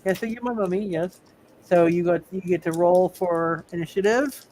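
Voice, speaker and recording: A man narrating casually as game master of a live roleplay game, halting and repeating his phrases. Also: high voice, darkish tone, a little hiss or hum.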